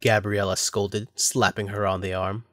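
A man's voice: lowish voice, little pitch movement, normal speed, fairly bright tone, no room sound. Dry-sounding male story narrator.

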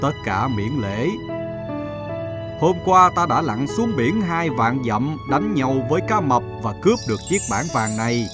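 A man's voice: medium pitch, sing-song, measured pace, over music. A man voicing a proud, stately emperor who tests his subjects in a cartoon fable. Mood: boastful. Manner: stately, commanding.

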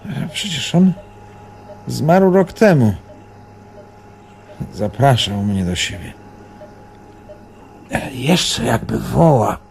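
An actor playing a weary, disillusioned old man near death in a radio drama, brooding and halting, with a husky, gravelly voice. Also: mid-range voice, animated, darkish tone, slight background noise. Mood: confused, dazed. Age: older.

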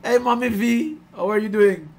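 A middle-aged man from Guyana, a musician talking casually on a video call, warm and chatty, laughing often and getting excited as he tells stories. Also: high voice, normal speed, vocal fry, over a noisy crowd. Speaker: musician hosting his album release stream. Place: small room at home. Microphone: headset on a video call.

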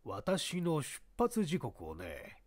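A shrewd baseball scout in a radio mystery, played by a man. He explains himself with worldly self-assurance, in a slightly high voice with lively intonation. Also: very slow, exaggerated voice. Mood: guarded, pondering. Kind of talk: radio-drama style mystery reading.

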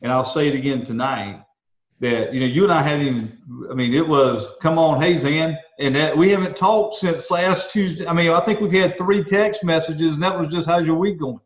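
A man co-hosting a casual chat, with a slightly high voice. He rambles through his stories with animated restarts. The recording is roomy and dull, with a lo-fi edge. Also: normal speed. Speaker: co-host.

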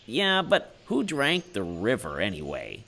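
A man voicing excitable young spider children in a puppet story, with an urgent, exclaiming manner. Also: on the low side, a little slow, creaky, slight background noise, full character voice. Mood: boastful, arguing.

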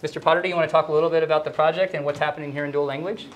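A man's voice: on the high side, steady tone, racing, slight background noise. A middle-aged man, a school principal, explaining things in an upbeat way as he walks through school halls and classrooms, speaking into a handheld mic. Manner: upbeat, explanatory, walking while talking. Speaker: school principal leading a tour. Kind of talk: casual guided tour talk. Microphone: handheld microphone.

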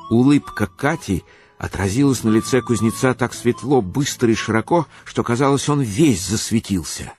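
A man's voice: mid-range voice, expressive, normal speed, a little mellow, soft background music. A man narrating a radio play in a literary, scripted storytelling style.

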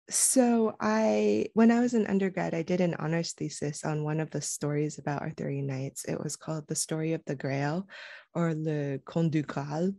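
A woman in her thirties speaks with a lowish, gravelly voice that has plenty of fry. She talks reflectively, restarting and circling back as she gathers her thoughts. The sound is close and dry, through a headset on a video call. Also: mellow, no room sound. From United States. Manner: thoughtful, many restarts and fillers. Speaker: guest. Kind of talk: casual conversational interview.

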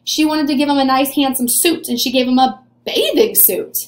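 A woman's voice: high-pitched, normal speed, roomy sound. A woman in her twenties teaching young children in an encouraging, question-and-answer way, recorded in a small room at desk distance. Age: 20s. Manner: encouraging, asks questions and answers them as if pupils replied. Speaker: early elementary teacher. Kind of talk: animated read-aloud lesson for young children. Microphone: webcam or camera at desk distance.

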